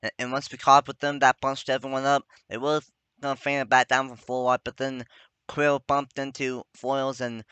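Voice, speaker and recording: A man's voice: mid-range voice, flat delivery, normal speed, sharp tone, clear, resonant tone, no echo. A man giving rambling, self-correcting play-by-play commentary on a simulated stock car race. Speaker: sim racing commentator.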